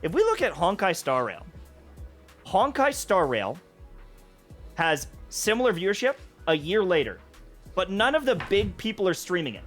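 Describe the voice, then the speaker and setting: High, lively-voiced man explaining. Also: faint music behind. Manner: measured, explains with examples and numbers. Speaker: streamer. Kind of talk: casual analytical chat.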